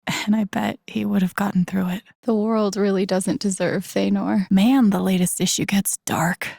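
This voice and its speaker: A woman playing an introspective, gently wry young woman in a video game, musing to herself in a naturalistic, low-key way. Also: fairly even intonation, moderate pace, vocal fry, dry recording, clean studio sound. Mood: musing, casual. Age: young adult. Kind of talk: naturalistic game voice acting, inner-monologue remarks.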